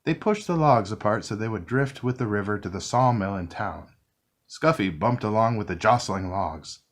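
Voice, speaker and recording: A man narrates a classic children's story in a read-along style. His voice sits on the low side, yet it rises and falls a great deal as he reads gently to young listeners. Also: moderate pace. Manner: gentle, expressive reading. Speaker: storybook reader.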